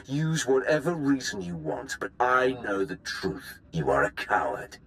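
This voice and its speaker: An actor playing a scornful, defiant Sith warrior in a video game, declaiming with contempt. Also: male voice, medium pitch, lively intonation, measured pace, creaky, a bit bright. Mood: scornful defiance. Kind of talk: dramatic video game acting.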